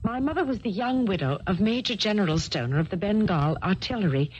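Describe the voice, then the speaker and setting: A woman acting in a 1970s radio drama, playing a distressed, earnest young English heiress with formal, halting phrasing. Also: mid-range voice, expressive, darkish tone, natural voice. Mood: anxious, insistent. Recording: dull noisy old radio recording.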